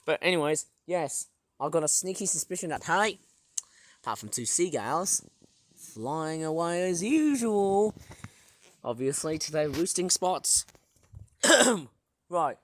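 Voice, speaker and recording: A vlogger with a hoarse, sore throat, narrating a casual outdoor tour of a backyard garden in a rambling, excitable way, filming on a tablet. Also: medium pitch, slow, long pauses. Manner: rambling, filler-heavy, excitable.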